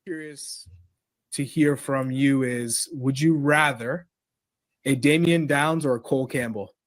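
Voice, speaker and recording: A man's voice: mid-range voice, measured pace, dry recording. A man on a livestream show putting a question to his guest and his chat. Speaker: show host in clip.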